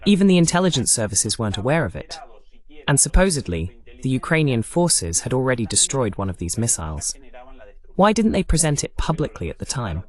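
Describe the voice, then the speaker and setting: Lively male political commentator. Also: medium pitch, brisk. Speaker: host of political analysis broadcast. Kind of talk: political commentary, English voice-over of a live talk.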